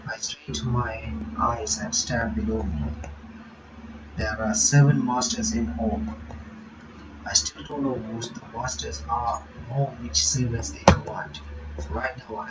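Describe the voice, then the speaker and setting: A man's voice: medium pitch, recorded from a distance, dull audio, background noise. Casual man reading a game aloud. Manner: casual, thinking aloud, halting. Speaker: game reaction streamer. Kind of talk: reading a visual novel aloud with commentary.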